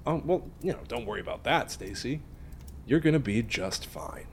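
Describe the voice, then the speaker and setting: A man's voice: mid-range voice, lively intonation, normal speed, a little hiss or hum, natural voice. A man playing a smug, teasing friend who spins spooky tales in an audio drama. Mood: calmly menacing. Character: smug, teasing male friend telling a gory local legend. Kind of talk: naturalistic audio drama acting.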